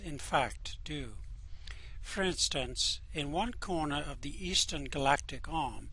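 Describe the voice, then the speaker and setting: Male narrator, bright tone, slightly husky, slow and wry. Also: on the high side, rough recording. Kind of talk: reading a radio-play narration aloud.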